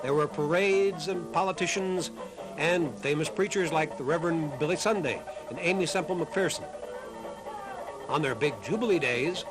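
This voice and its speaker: A man reading scripted documentary narration in a measured storytelling way. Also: fairly high pitch, poor audio. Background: music.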